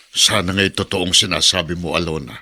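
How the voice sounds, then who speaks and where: A suspicious old grandfather in a radio drama. His voice is fairly low yet hard-edged and forceful, with a stern, doubting manner. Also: unhurried, full character voice. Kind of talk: Filipino radio drama acting.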